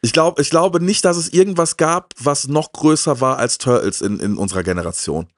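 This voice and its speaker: A man's voice: on the high side, expressive, fairly quick, no room sound, clean studio sound. A middle-aged man chatting casually and enthusiastically, slangy and repeating himself as he searches for words. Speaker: video show host. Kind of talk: casual chat about retro cartoons. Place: small indoor room with shelves of films and games. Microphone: handheld microphone held close.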